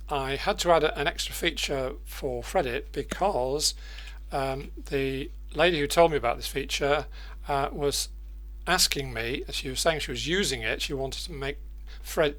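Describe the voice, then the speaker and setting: Hesitant older man giving a software tutorial. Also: medium pitch. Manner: hesitant, thinking aloud while demonstrating. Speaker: presenter of editing macro tutorials. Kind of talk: informal screencast software tutorial.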